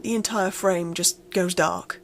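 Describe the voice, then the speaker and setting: A woman's voice: fairly low pitch, fairly even intonation, a little slow, background noise. A woman reading a first-person horror story aloud in a dramatic, suspenseful, acted-out way. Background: music.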